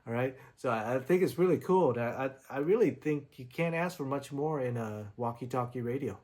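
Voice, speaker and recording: A man's voice: medium pitch, a little fast, dark tone. A man reviewing gear in a casual, conversational and slightly rambling way, explaining things as he goes. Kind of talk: casual product demo talk.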